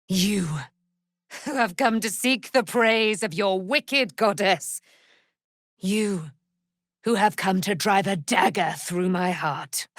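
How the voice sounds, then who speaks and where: An actress playing a proud, righteous immortal warrior, daughter of a moon goddess, with grandiose, formal delivery in a fantasy video game. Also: female voice, mid-range voice, dry recording, clean studio sound.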